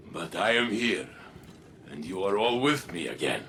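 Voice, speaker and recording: A man's voice: sits low, sharp tone, slight background noise, exaggerated voice. A man playing a huge, kindly robot warrior in a video game, speaking in broken English with short, blunt sentences, over game music. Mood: gentle. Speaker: tactical overseer.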